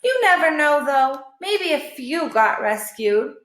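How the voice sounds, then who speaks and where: A woman's voice: high-pitched, very animated, slow pace, a bit bright, echoey room, pitched above the performer's own voice, heavily acted. A woman voicing a tough stray female dog from a storybook, blunt, matter-of-fact and resigned.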